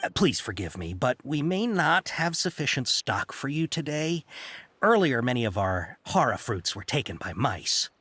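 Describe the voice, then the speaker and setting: A man's voice: medium pitch, big pitch swings, normal speed, fairly bright tone, husky. A man voicing a polite, slightly shifty young shop clerk in a video game, courteous and apologetic. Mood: courteous, businesslike. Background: game music.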